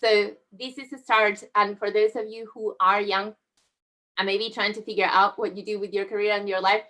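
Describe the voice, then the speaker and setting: A woman's voice: medium pitch, flat delivery, sharp tone. Woman from southern Spain giving a talk. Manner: friendly, measured, with frequent fillers. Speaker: guest speaker. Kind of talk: presenting a talk over slides. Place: online video call.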